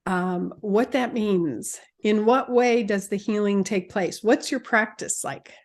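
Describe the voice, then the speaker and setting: A woman's voice: medium pitch, slow. Attentive woman podcast host. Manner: attentive, asks open questions. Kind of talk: casual interview.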